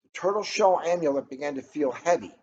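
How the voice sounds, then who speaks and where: A man reading a children's story aloud in an expressive, dramatic way, drawing out key words for emphasis. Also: fairly high pitch, brisk, breathy edge. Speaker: storybook reader. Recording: noisy, dull lo-fi recording.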